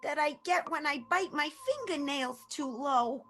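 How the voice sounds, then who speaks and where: Woman acting a high-pitched, bewildered new werewolf. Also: lively intonation, measured pace, bright, forceful tone, faint music behind, exaggerated voice. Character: confused, illiterate new female werewolf, slow-witted and vengeful. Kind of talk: improvised radio play acting.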